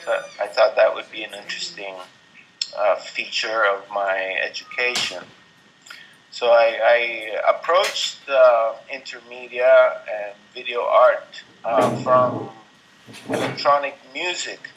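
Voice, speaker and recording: A middle-aged man from Venezuela speaking as an interview guest, thoughtful and halting, with many ums and restarts. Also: mid-range voice, steady tone, fairly bright tone, slight background noise, poor audio. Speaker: interview guest, artist and composer. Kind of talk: casual interview talk.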